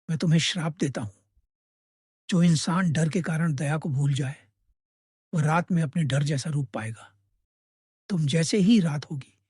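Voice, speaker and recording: Husky old holy man guy acting it, solemn cartoon sage, really slow, dry sound with music under. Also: fairly high pitch, darkish tone, dry recording. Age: older. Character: wounded old male hermit sage, solemn, cursing. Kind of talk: Hindi animated moral story dialogue.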